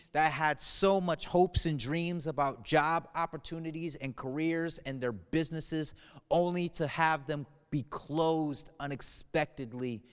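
A man in his thirties with a smooth, somewhat high voice speaks earnestly to a congregation, easing from light humor into sober reflection. His voice rings with a long echo from the room. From United States. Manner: earnest, shifts between playful humor and somber reflection. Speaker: church speaker. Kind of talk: video message to a congregation. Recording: reverberant room.

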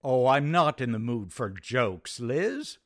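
An actor playing a suave, excitable man about town in a radio sitcom, theatrical and emphatic. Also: mid-range voice, slow pace, no room sound, studio quality, full character voice. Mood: wry, lovelorn.

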